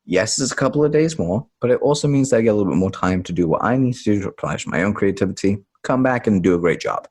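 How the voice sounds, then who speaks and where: A man from Tanzania, talking as a podcast guest in a relaxed, rambling way that often corrects itself. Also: on the low side, fast talker, few pauses, husky. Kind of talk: podcast interview conversation.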